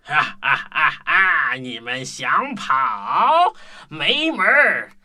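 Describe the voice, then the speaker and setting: Mischievous storybook monster, played by a woman. Exaggerated, very animated, slowish delivery. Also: sits low, loud music behind the voice, exaggerated voice. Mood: gloating. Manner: taunting or whining, exaggerated.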